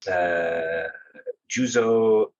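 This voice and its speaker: A man as a podcast guest, casually giving recommendations in a halting way, thinking aloud, on slightly lo-fi audio. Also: sits low.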